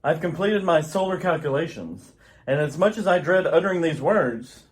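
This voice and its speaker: A woman's voice: low-pitched, animated, moderate pace, voice pushed down. A woman playing a grave male scientist who warns urgently of doom in an amateur old-time radio drama. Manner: solemn, urgent, declamatory.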